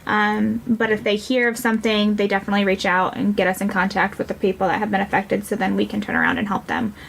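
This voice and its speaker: A woman's voice: mid-range voice, expressive, fast, vocal fry, a little hiss or hum, poor audio. A woman with an American accent, explaining things conversationally as an interview guest. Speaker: nonprofit representative and guest.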